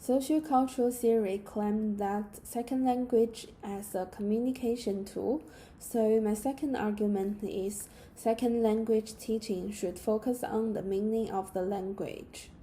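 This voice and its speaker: Chinese-accented young woman, very mellow voice. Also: fairly high pitch, a little slow, a little hiss or hum, rough recording. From China. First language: Mandarin. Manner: steady, careful reading of a prepared script. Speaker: student presenter. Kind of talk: read class presentation.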